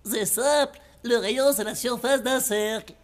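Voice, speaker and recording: A woman's voice: on the high side, a little slow, lightly acted. A woman voicing a young schoolboy in a cartoon, an eager, ingratiating teacher's pet. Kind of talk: animated series dubbing.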